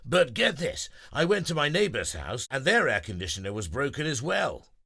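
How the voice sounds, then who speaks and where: A man's voice: medium pitch, very animated, moderate pace, creaky, bright, forceful tone, breathy edge, voice pushed up, heavily acted. A man voicing a chatty young male deer in a children's storybook reading.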